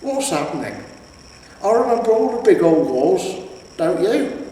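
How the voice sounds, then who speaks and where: A man's voice: sits high, very animated, a little slow, warm tone, pitched above the performer's own voice. A man voicing an aging, world-weary barbarian hero in a storybook, with a wistful, hesitant, plain-spoken manner. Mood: troubled. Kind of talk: storybook character reading. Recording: echoey reverberant room, distant, faint hiss.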